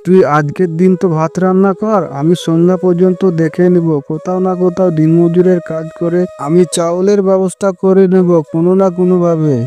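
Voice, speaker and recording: A man's voice: sits high, little pitch movement, a little fast, few pauses, warm tone, faint music behind, lightly acted. A man playing a proud, anguished poor farmer husband in a cartoon, speaking emphatically. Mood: reassuring. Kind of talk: dramatic cartoon story acting.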